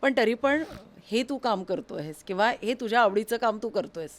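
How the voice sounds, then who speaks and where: A woman's voice: fairly high pitch, expressive, moderate pace. A woman interviewing a guest in a conversational, encouraging and affirming way.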